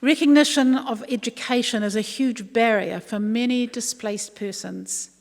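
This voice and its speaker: A woman giving a formal prepared speech in a deliberate, earnest way. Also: a little high. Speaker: commission chairperson, guest speaker. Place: large indoor hall, public address. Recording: echoey hall, long reverb.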